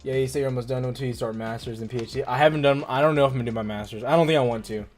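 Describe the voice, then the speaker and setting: A man's voice: mid-range voice, fairly even intonation, very fast, a little mellow, faint music behind. A man in his twenties hosting a stock trading livestream, chatting casually and rambling with lots of "like". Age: 20s. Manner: laid-back, rambling, heavy use of "like". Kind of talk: casual livestream chat, reading viewer comments.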